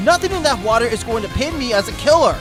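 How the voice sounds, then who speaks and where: A man's voice: very high voice, expressive, fast talker, music in the background, pitched above the performer's own voice. A man voicing a frantic, over-caffeinated male sloth who stutters through hysterical denials in a fan story reading. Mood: defiant.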